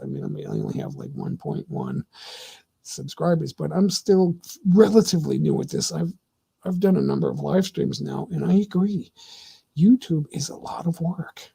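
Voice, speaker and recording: A middle-aged man hosting his own livestream, talking casually and answering chat in a rambling, opinionated way with many halting restarts. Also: a little high, vocal fry, dark tone, breathy edge. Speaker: livestream host.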